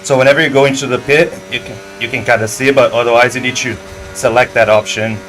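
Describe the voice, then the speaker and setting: Laid-back man, mid-pitched. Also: fairly even intonation, fast talker, a bit bright, a little hiss or hum, low-quality recording. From Brazil. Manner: laid-back, short agreeing replies, curses at mistakes. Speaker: sim racing player. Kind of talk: casual voice chat while gaming.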